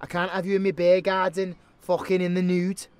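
A British man playing a gruff but kindly old pub landlord, chatty, blunt and sweary, in a casual audio roleplay. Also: high voice, monotone, fairly quick, dry recording, lightly acted. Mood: brisk, teasing.

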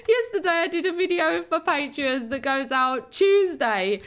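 Woman gamer, young adult, hoarse, high and bouncy pitch, giggly self-talk commentary. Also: expressive, a little slow, fairly bright tone. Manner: chatty, giggly, talks to herself and the viewers. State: hoarse, losing her voice. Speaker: game channel host. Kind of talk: casual Minecraft let's play commentary.

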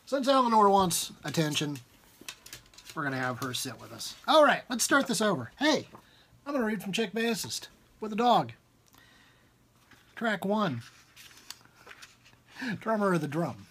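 A man in his forties with a husky voice reads his own fiction aloud informally and expressively, with emphatic rhythm and dramatic pauses, at a desk in a room at home. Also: high-pitched, big pitch swings, pushed and bright, a little hiss or hum. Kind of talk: expressive literary reading, informal. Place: small book-lined room at home, indoors.

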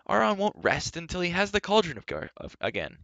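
Man as a urgent young storybook hero, pleading, raised pitch, bright tone, slightly dull recording. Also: lively intonation, moderate pace, no room sound. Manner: pleading, insistent. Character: earnest, urgent young male adventurer hero. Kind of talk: children's storybook character reading.

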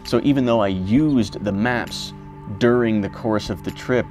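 A young man talking casually about his motorcycle trip, relaxed and rambling with fillers and self-corrections. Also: on the low side, measured pace, soft background music. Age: young adult. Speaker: motorcycle vlogger. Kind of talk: casual reflective vlog talk.

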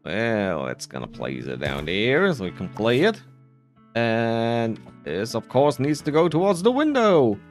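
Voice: mid-range voice, huge pitch swings, unhurried, faint music behind. A gaming creator giving casual playthrough commentary, rambling and muttering while thinking aloud.

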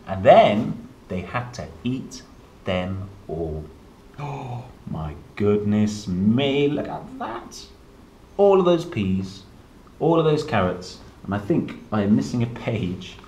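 A man in his thirties reading a picture book to young children, playful and expressive, with chatty asides. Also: on the low side. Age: 30s. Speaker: storyteller, host reading children's books. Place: indoor room, seated at a table. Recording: roomy echo, some background noise.

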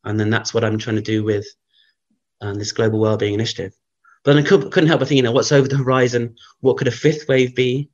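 A man, a guest researcher, thinking aloud in a casual interview, rambling with many fillers, his voice croaky from early morning. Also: mid-range voice, little pitch movement, fast talker, darkish tone, highs cut off.

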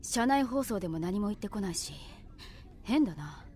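A woman's voice: mid-range voice, animated, moderate pace, a little mellow, a little hiss or hum, natural voice. An actress playing a serious anime girl leader who talks in stiff, old-fashioned commanding speech. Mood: stern. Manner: formal, authoritative.

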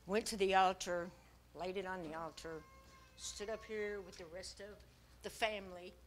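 A woman's voice: mid-range voice, steady tone, moderate pace, a little hiss or hum. A middle-aged woman giving a simple, joyful testimony in a small church.

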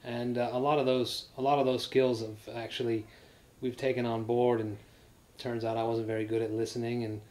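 Flat-voiced man in his thirties. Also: medium pitch, moderate pace. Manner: rambling, many fillers and restarts. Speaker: married couple sharing a testimonial. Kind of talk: casual testimonial. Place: living room sofa, indoors.

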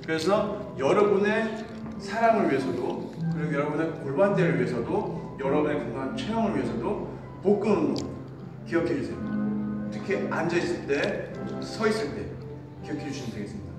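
A man in his thirties, a fitness coach, giving an animated exercise lesson while demonstrating moves in a large indoor gym, filmed from a distance. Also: on the high side, a little fast, loud music behind the voice. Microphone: far camera. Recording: echoey gym from far off.

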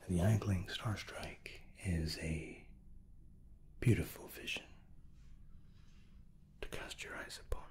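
A man in his thirties whispering softly and courteously as a flight attendant in an ASMR roleplay, close to the microphone. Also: low voice, slightly rough. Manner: soft, slow, courteous attentive steward.

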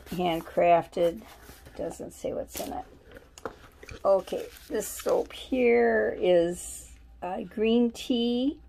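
A woman's voice: mid-range voice, creaky, a little mellow. A woman at home showing her soap haul on camera, chatting and musing aloud as she reads labels. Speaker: vlogger. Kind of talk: casual shopping haul show-and-tell. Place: at home, indoors.

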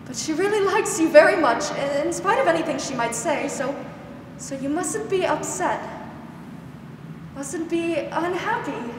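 A young woman acting a gentle, weary, protective older aunt in a 1940s-style radio drama, soothing and hesitant. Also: high-pitched, animated, unhurried. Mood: hesitant, sorrowful. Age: young adult. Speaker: student actor. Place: school theatre stage. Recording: echoey hall, noisy background.

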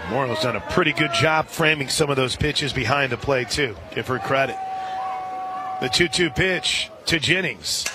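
A man working as a radio play-by-play announcer, calling a live softball game. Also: mid-range voice, normal speed. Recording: outdoor stadium crowd noise behind. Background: ballpark crowd and outdoor ambience.

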